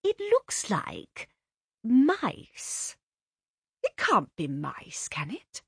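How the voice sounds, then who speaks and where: A woman doing a flustered high-pitched society mother. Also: huge pitch swings, very slow, vocal fry, slightly rough, no echo, clean studio sound, heavily acted. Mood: fussy. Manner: breathless, fluttering commands. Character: fussy flustered upper-class mother.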